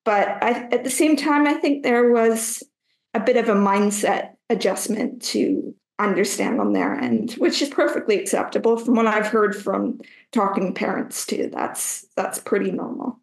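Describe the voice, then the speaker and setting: A woman's voice: mid-range voice, very animated, unhurried, roomy sound. A woman in her thirties with a Canadian accent, a podcast guest talking thoughtfully with frequent fillers. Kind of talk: conversational podcast interview.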